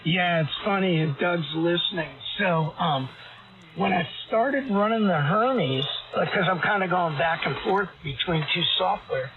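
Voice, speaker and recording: A raspy-voiced man chatting casually as a ham radio operator, rambling and hesitant with many fillers. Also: high voice, measured pace. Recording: tinny radio transmission with static.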